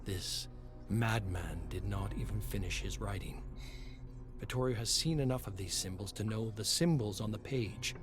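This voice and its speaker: A man narrating a scripted story dramatically, in a measured and solemn manner. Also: lowish voice. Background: music.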